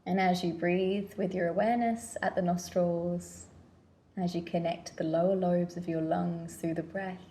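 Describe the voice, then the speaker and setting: Woman doing guided meditation, really slow and soft-edged mellow voice, gentle, lots of pauses. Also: fairly low pitch. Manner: gentle, unhurried, pausing between phrases.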